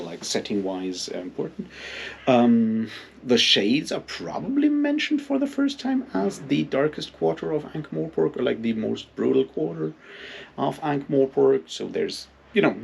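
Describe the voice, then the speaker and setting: A man giving a casual, unscripted book commentary in a halting, rambling way, with plenty of filler phrases. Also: mid-range voice, gravelly, slight background noise. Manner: halting, hesitant, rambling delivery with frequent hedging filler phrases. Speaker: book reviewer and readalong host.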